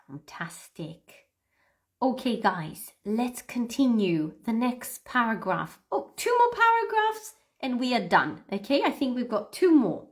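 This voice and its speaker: A woman hosting a livestream English lesson as the teacher, speaking deliberately and encouragingly to learners as she models phrases for them. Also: fairly high pitch, sing-song. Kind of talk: read-aloud pronunciation lesson, addressing learners.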